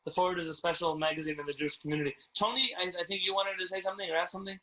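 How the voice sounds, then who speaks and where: A man with a high, bright voice speaks as a rabbi taking questions from his community. He talks fast and courteously, over a video call. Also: steady tone. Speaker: rabbi leading a community address. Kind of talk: question-and-answer address to a community. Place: indoors on a Zoom video call. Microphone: video call microphone. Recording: low-quality video call audio.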